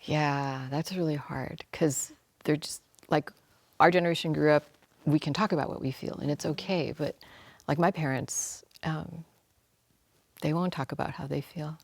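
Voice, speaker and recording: A woman's voice: deep voice, steady tone, moderate pace, creaky, no room sound. A woman on a panel sharing personal stories in a chatty way with frequent fillers. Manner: warm, chatty, many fillers, laughs. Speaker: panel speaker. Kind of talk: panel discussion.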